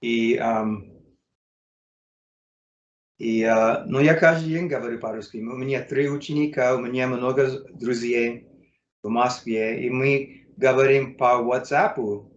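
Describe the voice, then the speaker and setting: Man, American accent, native English speaker, halting learner's speech, many fillers, echoey room. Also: medium pitch, steady tone, dull audio. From United States. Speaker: interview guest, English teacher. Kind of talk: casual interview in learner's Russian.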